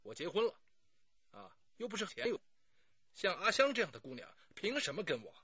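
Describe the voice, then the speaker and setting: Husky, animated middle-aged suspect. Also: male voice, sits high, brisk, muffled recording, heavily acted. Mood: frank, defensive. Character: burly middle-aged man, talkative, self-justifying murder suspect. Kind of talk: radio drama acting.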